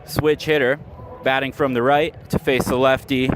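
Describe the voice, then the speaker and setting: A man does live radio play-by-play of a baseball game at a middle pitch, with music clearly audible behind him. He speaks in short, clipped phrases that follow the action as it happens. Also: flat delivery, normal speed, poor audio. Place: live at an outdoor ballpark.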